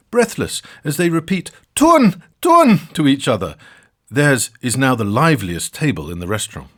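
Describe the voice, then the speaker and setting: A man narrating a scripted comic story for a podcast, wry and theatrical with dramatic pauses. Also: fairly high pitch.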